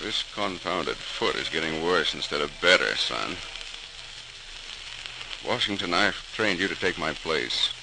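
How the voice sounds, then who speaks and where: Driven engineer patriarch, low and forceful, declamatory period radio style, hissy old transcription. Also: male voice, animated, measured pace, vocal fry, exaggerated voice. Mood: grave, commanding. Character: driven, determined bridge engineer, commanding father. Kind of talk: 1940s radio drama acting.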